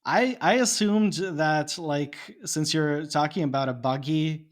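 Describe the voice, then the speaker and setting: A man's voice: on the high side, measured pace. Man with a Bosnian Serbian accent. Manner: musing, thinks aloud with laughs. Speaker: podcast guest. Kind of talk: casual chat.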